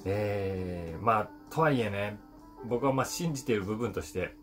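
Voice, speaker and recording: A man, an actor, musing to camera in a relaxed, unhurried way with many fillers, recorded indoors on a close mic. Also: fairly low pitch, faint music behind. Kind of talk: casual vlog talk to camera. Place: indoors in front of a brick backdrop.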